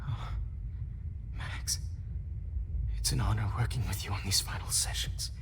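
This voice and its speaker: An actor playing a menacing, soft-spoken photography teacher, a condescending villain in a video game, often half-whispering. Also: male voice, fairly low pitch, steady tone, unhurried, vocal fry, in a large hall, noisy background. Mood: gloating, soft. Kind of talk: dramatic video game acting.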